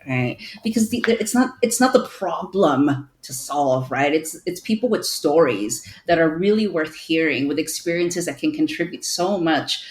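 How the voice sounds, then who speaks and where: A woman's voice: deep voice, expressive, normal speed, hardly any pauses. A woman from Peru, a guest on a podcast, speaking thoughtfully with many fillers. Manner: warm, thoughtful, with frequent fillers. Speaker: guest, clinical social worker. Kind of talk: conversational interview.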